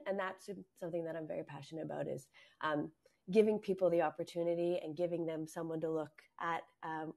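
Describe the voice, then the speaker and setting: A woman's voice: sits low, gravelly, dark tone. A woman panelist who is losing her voice, speaking earnestly on a video call. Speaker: head of analytics, panelist.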